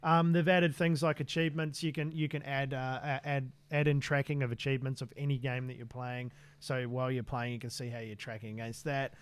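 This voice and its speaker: A man's voice: mid-range voice, little pitch movement, brisk, hardly any pauses, smooth, dry recording. A man co-hosting a tech podcast in an easygoing, casual chat full of fillers and restarts. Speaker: podcast co-host.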